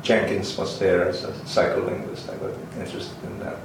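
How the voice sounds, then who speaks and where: Older finnish guy remembering stuff, lots of uhs, kinda low, far off mic with faint hiss. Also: level tone, brisk. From Finland. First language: Swedish, Finnish and German. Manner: hesitant, many ums and restarts, chuckles. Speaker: interviewee, linguist. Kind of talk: oral history interview. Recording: roomy, distant, faint hiss.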